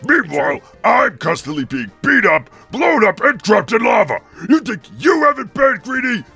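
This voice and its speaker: A man voicing a huge, bad-tempered cartoon monster villain, gravelly, mocking and self-pitying in a theatrical, blustering way. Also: extremely high pitch, big pitch swings, moderate pace, sharp tone, faint music behind, heavily acted. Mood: indignant ranting. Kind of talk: cartoon fan-dub voice acting.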